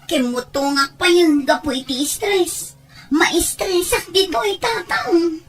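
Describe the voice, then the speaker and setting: A woman's voice: very high-pitched, slow pace, faint music behind. A woman with a Filipino accent voicing an unhappy, homesick young wife living abroad in an Ilocano audio drama, plaintive and complaining. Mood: stressed, complaining.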